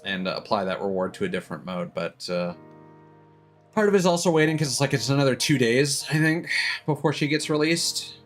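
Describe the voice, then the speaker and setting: A man's voice: mid-range voice, expressive, fairly quick, faint music behind. A man doing casual, rambling livestream chat as a game streamer, with chuckles here and there.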